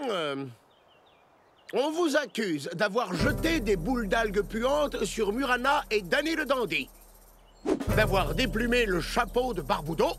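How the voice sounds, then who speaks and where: High-pitched theatrical cartoon man. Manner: theatrical, declamatory. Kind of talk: children's cartoon dubbing. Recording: music behind the voice.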